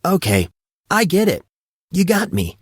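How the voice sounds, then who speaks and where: A flustered teenage boy in an audiobook, played by a man, defensive and incredulous. His pitch rides up, in a dry recording. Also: wildly swooping pitch, a little slow, husky, no echo, full character voice. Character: flustered, defensive fourteen-year-old boy.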